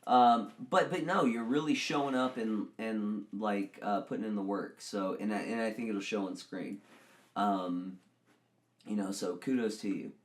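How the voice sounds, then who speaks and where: A man's voice: on the low side, steady tone, a little slow. A young man from Texas on a podcast, chatting casually and rambling with many restarts and self-mocking jokes. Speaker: podcast co-host and actor. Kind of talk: casual chat.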